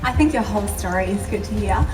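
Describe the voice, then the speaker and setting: A woman hosting an event, asking a guest questions in a gentle, hesitant way. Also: mid-range voice, expressive, quick pace. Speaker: host, interviewer. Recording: roomy, far from the mic, faint music.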